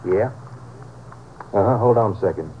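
A man's voice: lowish voice, moderate pace, close to an everyday voice. An actor playing a wary, wry lawman sidekick, plain-spoken, in a 1950s radio crime drama. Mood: low-key, uneasy. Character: wary, wry lawman partner to a Texas Ranger. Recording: dull, faintly noisy old radio recording.

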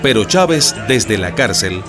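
A man reading scripted radio drama narration in a dramatic, clearly phrased way. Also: on the low side, big pitch swings, a little slow, music in the background.

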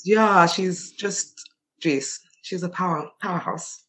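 Bright-voiced South African woman. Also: fairly low pitch, slow pace, rough recording. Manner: chatty, laughs often, many fillers. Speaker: interview guest. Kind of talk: casual conversational interview.

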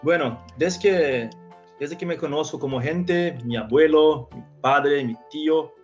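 Brazilian man speaking halting Spanish. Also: a little high, darkish tone, muffled recording, faint music behind. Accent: Brazilian Portuguese. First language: Portuguese. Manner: halting, with frequent restarts. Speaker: guest. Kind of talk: casual interview talk.

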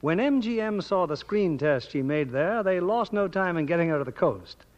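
A man's voice: high-pitched, lively intonation, normal speed. A man hosting a 1940s radio show, announcing and interviewing from a script. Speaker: radio host. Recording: dull old radio broadcast.

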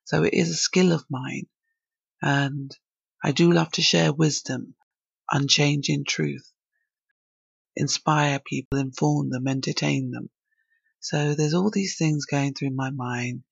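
A woman's voice: sits low, gravelly, slightly rough, no echo, dull audio. A woman from England hosting her own podcast, talking alone in a reflective, thinking-aloud way. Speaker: podcast host. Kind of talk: solo podcast monologue.

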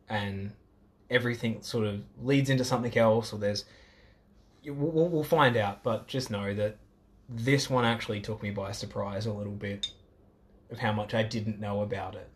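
An Australian man hosting a casual podcast chat, jokey and self-mocking. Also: fairly low pitch. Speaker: podcast host.

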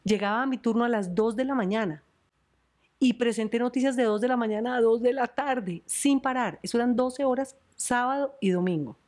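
A middle-aged Colombian woman journalist, animated and chatty, telling stories in a podcast interview. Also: fairly high pitch, fairly quick. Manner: animated, laughs often, storytelling. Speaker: journalist and news presenter.

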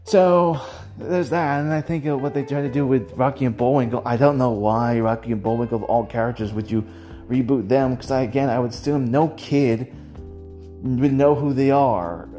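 Mellow soft-edged voice, mid pitch, casual host, rambling and hesitant solo commentary, faint music behind. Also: moderate pace.

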